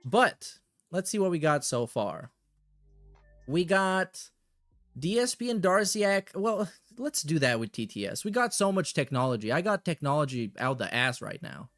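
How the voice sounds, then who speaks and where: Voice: medium pitch, very animated, moderate pace, a bit bright, faint music behind. A livestream host chatting casually and reacting in a blunt, heavily profane way.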